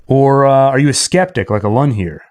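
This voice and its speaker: A man's voice: mid-range voice, brisk, a little mellow. A man hosting a livestream, chatting casually and telling stories in a theatrical, dramatic manner. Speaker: livestream host. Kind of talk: casual storytelling and chat to livestream viewers.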